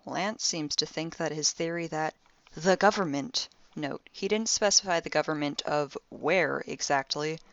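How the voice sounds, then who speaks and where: Muffled dry recording, woman playing a low voiced snarky young space crew gal doing her audio log, deadpan n fed up. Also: unhurried, no echo. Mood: wry, amused. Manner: wry, deadpan, exasperated, British slang. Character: sardonic young spaceship crewwoman keeping an audio diary. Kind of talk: audio drama monologue, personal log.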